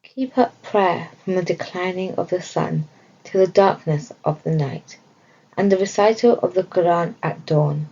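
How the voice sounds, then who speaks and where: A woman narrates a scripted religious study. Her voice sits on the low side and often creaks into fry. The recording is dull and lo-fi. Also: measured pace, dark tone. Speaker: reader of religious study text.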